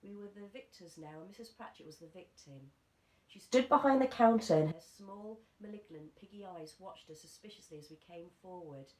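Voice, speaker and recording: A woman's voice: mid-range voice, moderate pace, a little mellow. A woman reading a children's story aloud, like a primary school teacher to her pupils. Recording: echoey room, faint background noise.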